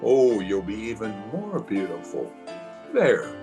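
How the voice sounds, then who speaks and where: A man's voice: fairly low pitch, sing-song, slow, loud music behind the voice, full character voice. A man playing a tender, adoring young bridegroom in an old-time radio drama. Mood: adoring, reassuring.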